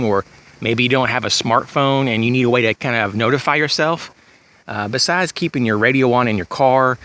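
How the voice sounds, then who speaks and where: A man giving an informal, unscripted gear demonstration in a halting, rambling way, like a hobbyist vlogger. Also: medium pitch, pushed and bright. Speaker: prepper vlogger presenting gear. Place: outdoors, in breezy weather. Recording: clear background noise, outdoor ambience.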